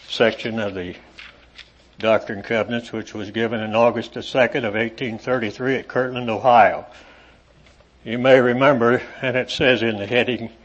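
A man preaching to a congregation in an evening meeting, reading scripture aloud with rising emphasis and talking with halting fillers in between. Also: fairly low pitch. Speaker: lay preacher. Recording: dull lo-fi recording.

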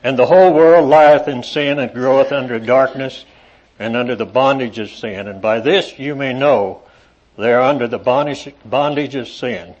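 A man preaching to a congregation in an evening meeting, reading scripture aloud with rising emphasis and talking with halting fillers in between. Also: medium pitch, a little mellow. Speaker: lay preacher. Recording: dull lo-fi recording.

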